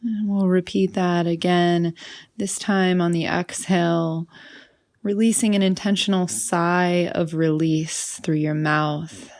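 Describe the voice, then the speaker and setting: A woman leading a guided meditation in a soothing, unhurried manner, often trailing off into breathy softness. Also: fairly low pitch, level tone.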